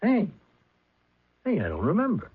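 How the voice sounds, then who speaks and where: An actor playing a shabby, evasive showbiz agent in a 1970s radio drama, stammering and wheedling in streetwise New slang. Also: male voice, sits high, huge pitch swings, a little slow, husky, heavily acted. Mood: flustered, evasive. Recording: dull old radio recording.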